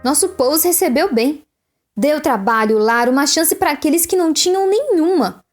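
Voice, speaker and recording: Woman acting a proud, high-voiced alien heiress. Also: animated, moderate pace, faint music behind. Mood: defensive, earnest. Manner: formal, solemn, theatrical. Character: proud alien mining heiress, aggrieved testimony-giver. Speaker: voice actor in audio drama. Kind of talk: dramatic testimony monologue.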